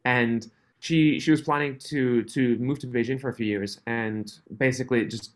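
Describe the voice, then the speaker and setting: Swiss-raised guy w/ sorta canadian accent, mid-pitched, laughs a lot, restarts his sentences, podcast guest. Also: steady tone, moderate pace. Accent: mixed, Canadian-tinged. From Switzerland. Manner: friendly, laughs often, restarts phrases. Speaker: podcast guest, company founder. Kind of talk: casual interview chat.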